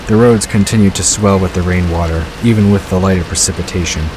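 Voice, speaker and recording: A man's voice: low voice, quick pace, noisy background. A man reading scripted story narration as the narrator of an audio drama. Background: rain and vehicle sound effects.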